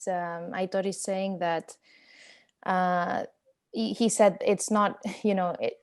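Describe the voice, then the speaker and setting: A woman, an actress and interpreter, speaking off the cuff and haltingly as she relays what others say in a post-show conversation. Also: on the low side, darkish tone. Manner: hesitant, halting while translating.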